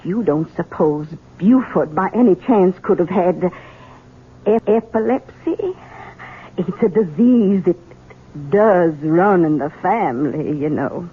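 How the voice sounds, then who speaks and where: A sour, resigned old matriarch in a radio play. She is dry and measured, with a husky voice. The recording is narrow and dull like an old broadcast. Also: lowish voice, animated, heavily acted. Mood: musing, ominous. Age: older. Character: sour, resigned old matriarch hiding after faked death. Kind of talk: radio drama acting.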